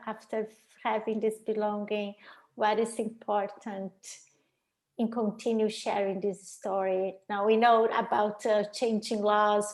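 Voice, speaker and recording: A woman co-hosting a podcast interview, speaking haltingly and searching for words. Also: mid-range voice, vocal fry, poor audio. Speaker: podcast co-host. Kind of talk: casual interview chat.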